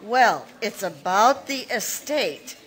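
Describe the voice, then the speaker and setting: An actress playing a scheming spinster sister in a live recreation of a 1940s radio mystery, putting on a halting, stammering show of distress. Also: female voice, medium pitch, lively intonation, a bit bright. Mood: feigned distress.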